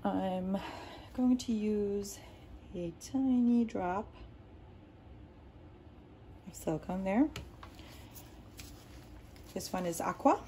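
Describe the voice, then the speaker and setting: Woman, Arabic native speaker, hosting a home-studio painting tutorial; warm, easygoing, rambling delivery. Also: mid-range voice, extremely slow, pauses often, slight background noise. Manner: rambling, many ums and restarts. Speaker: art tutorial host. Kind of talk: casual explaining while working. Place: home art studio, indoors.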